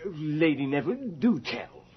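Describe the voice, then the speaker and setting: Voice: mid-range voice, very animated, a little slow. An actor playing an astonished aristocratic guest, hesitant and formal, in a radio drama. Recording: muffled radio drama with crowd behind.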